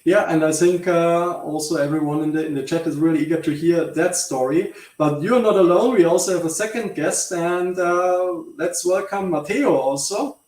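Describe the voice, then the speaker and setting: A man hosting an online meetup, introducing guests with quick, upbeat affirmations. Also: fairly high pitch, a little mellow, far from the mic. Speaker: meetup host. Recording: roomy sound from off the mic.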